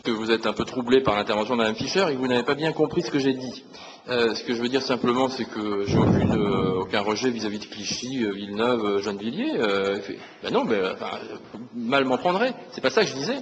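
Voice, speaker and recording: A man's voice: mid-range voice, expressive, fairly quick, highs cut off. A man speaking off the cuff as an opposition councillor in debate, hesitant and repetitive, with a conciliatory manner. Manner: hesitant, repeats words, conciliatory. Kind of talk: impromptu council debate remarks. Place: large council meeting room. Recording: reverberant hall with faint noise.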